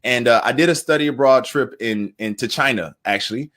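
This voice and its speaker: A man's voice: medium pitch, a bit bright. Earnest American man in his thirties. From United States. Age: 30s. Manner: earnest, halting with repeated words. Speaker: interview guest, entrepreneur. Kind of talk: casual personal storytelling.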